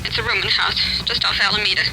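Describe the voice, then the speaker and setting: An American actress playing an anxious, insistent convict's wife phoning a detective in a radio drama. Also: female voice, mid-range voice, fairly even intonation, quick pace, lightly acted. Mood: urgent, anxious. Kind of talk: 1940s-50s radio drama acting. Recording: very noisy old radio, telephone-like sound.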